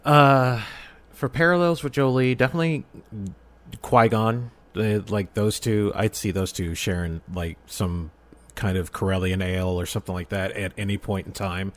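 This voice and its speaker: Man, fairly low voice, casual podcast chat, halting, thinking aloud. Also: steady tone. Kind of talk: casual discussion.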